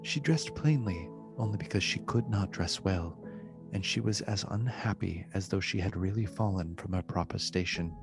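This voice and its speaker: Low male storyteller, solemn, formal, measured, gothic radio drama, prominent music underneath. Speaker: narrator. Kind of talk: dramatic literary narration.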